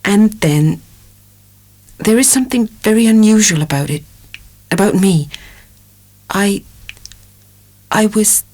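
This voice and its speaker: A woman's voice: mid-range voice, slow, long pauses, a little mellow. An actress playing an anguished, tender young dairymaid in love in a dramatic audiobook, hesitant and impassioned as she hides a secret. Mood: nervous, halting.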